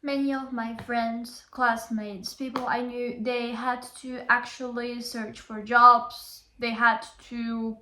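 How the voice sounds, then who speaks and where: An earnest young woman talks straight to the camera in a slightly high voice, repeating her key phrases to drive them home. The room around her is roomy and echoey. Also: little pitch movement, takes it slowly, hardly any pauses, pushed and bright. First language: Bulgarian. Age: young adult. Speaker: Chinese tutor. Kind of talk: motivational vlog talk. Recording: roomy echo.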